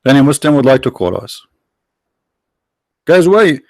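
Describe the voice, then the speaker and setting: Host: man, mid-pitched, first language Arabic, mocking and halting delivery, dry close mic. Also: very animated, darkish tone, dry recording. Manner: sarcastic, halting with many fillers, breaks into mock singing. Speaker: live-stream host. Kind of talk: mocking religious debate stream.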